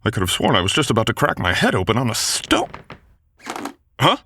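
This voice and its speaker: A gallant wounded knight. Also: male voice, on the low side, huge pitch swings, fairly quick, sharp tone, a little hiss or hum, exaggerated voice. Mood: urgent. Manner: old-fashioned courtly speech. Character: wounded young male knight, gallant and protective. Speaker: voice actor. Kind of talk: boyfriend ASMR audio drama.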